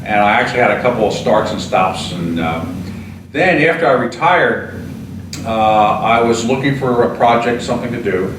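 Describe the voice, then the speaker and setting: Older man in his sixties, earnest and steady, frequent "uh"s, recorded off-mic in an echoey room with noise behind. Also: on the low side, normal speed, distant mic. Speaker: retired fire chief and author. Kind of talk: interview answers.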